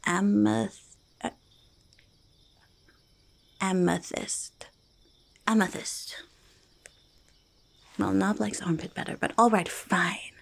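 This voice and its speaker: A woman voicing a quirky, stubborn goblin who loves shiny treasures, in a halting, breathy, creaky ASMR whisper, speaking in third person. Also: medium pitch, full character voice. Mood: stubborn bickering. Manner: halting, childlike third-person speech, easily argumentative. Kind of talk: soft-spoken ASMR roleplay, mostly whispered.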